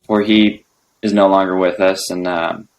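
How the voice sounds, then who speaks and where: A young man answering interview questions in a relaxed, halting way, filling his pauses with ums. His voice is very low and deep. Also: rough recording. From United States. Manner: relaxed, hesitant with many ums. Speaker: guest. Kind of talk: casual interview conversation.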